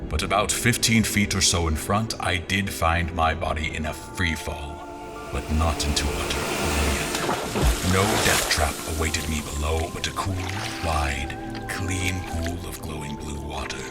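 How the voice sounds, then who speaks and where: An actor playing a brooding adventurer who recounts a perilous journey in solemn, literary audio drama narration. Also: male voice, very deep voice, fairly even intonation, a little slow. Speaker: narrator and character. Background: music.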